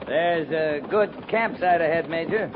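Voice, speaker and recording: An actor playing a steady, reserved frontier cavalry captain in a 1950s radio western, speaking in a measured way. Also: male voice, a little high, noisy background, close to an everyday voice. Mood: steady, weary. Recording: tinny 1950s radio recording, busy background.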